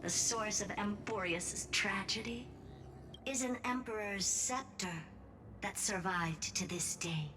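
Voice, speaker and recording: A woman's voice: medium pitch, slow pace, distant mic, noisy background, close to an everyday voice. A woman voicing a brilliant, playful, smug young scientist in a video game, speaking in a self-assured, theatrical, teasing way. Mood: expository, dramatic. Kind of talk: scripted game dialogue. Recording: game audio with prominent music. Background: loud game music.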